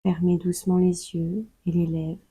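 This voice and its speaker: A woman leading a guided yoga nidra meditation in a calm, soothing, unhurried way, leaving long pauses between instructions. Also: on the low side, breathy edge, no echo. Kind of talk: slow guided meditation narration.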